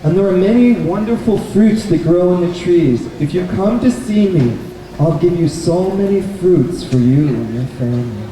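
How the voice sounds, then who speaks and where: A man's voice: sits high, expressive, normal speed, dark tone, a little hiss or hum. A man voicing a grateful, kindly monkey in a live puppet story, with polite, effusive thanks and reassurance. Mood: generous, friendly. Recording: echoey, distant live event recording.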